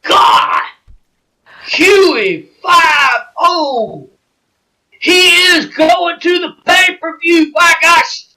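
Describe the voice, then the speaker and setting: An excitable male wrestling commentator with a very high, bright voice. He draws his words out slowly and breaks into shouts at the big moments. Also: poor audio. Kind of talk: play-by-play commentary.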